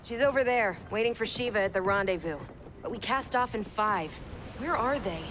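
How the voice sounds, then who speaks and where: An actress playing a tough, snarky young soldier in a video game, brash and sardonic. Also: female voice, mid-range voice, moderate pace, noisy background, close to an everyday voice. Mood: brisk teasing. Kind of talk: video game cutscene acting.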